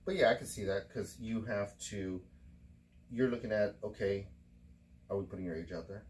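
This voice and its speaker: A man's voice: on the low side, moderate pace, slight background noise. A man in his forties hosting a casual podcast chat, blunt and profane, lecturing his co-host with insistent repetition. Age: 40s.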